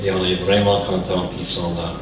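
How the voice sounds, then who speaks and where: Hesitant pastor in an echoey church. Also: male voice, low-pitched, little pitch movement, moderate pace, background noise. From Canada, New Brunswick. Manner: hesitant, searching for words. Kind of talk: church service introduction. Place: church sanctuary. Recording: echoey church hall from far off.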